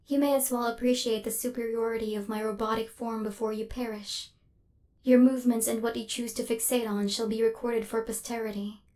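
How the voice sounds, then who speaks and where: A woman's voice: on the high side, mellow, lightly acted. A woman voicing a cold, haughty female killer robot in an ASMR roleplay, speaking in a formal, clinical, stilted way with a hint of hidden fluster. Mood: haughty, guarded.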